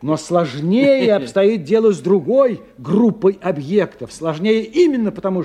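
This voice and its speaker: A learned scientist in a radio drama, with a soft, mellow and fairly high male voice. He is courteous and cautious, weighing his words with hesitant, skeptical care. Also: breathy edge, exaggerated voice. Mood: musing, deliberate. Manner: hesitant, courteous, deliberate phrasing. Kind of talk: 1990 Russian radio play dialogue.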